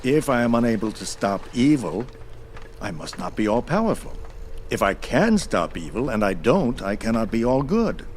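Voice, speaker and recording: An actor playing an ancient godlike being in an audio drama, amused and urbane, with a theatrical, erudite and wryly polite manner. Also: male voice, medium pitch, sing-song, moderate pace, darkish tone, a little hiss or hum, close to an everyday voice. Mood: urbane, philosophical.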